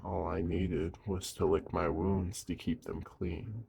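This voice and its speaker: Man acting a very deep, gravelly werewolf. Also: steady tone, slow pace, a little hiss or hum, exaggerated voice. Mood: caring, awkward. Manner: gruff, hesitant, gently protective. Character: gruff but caring male werewolf living in the woods. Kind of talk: roleplay audio acting, addressed to the listener.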